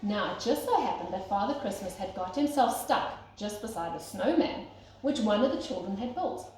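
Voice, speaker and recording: A woman's voice: medium pitch, lively intonation, normal speed, darkish tone. A woman teacher reading a children's storybook aloud expressively, as if to young listeners. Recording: very echoey room, faint noise.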